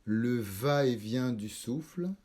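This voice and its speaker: Slow, mellow man guiding relaxation. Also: medium pitch. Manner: calm, measured, instructing in short spaced phrases. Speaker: yoga nidra instructor. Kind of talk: slow guided relaxation meditation.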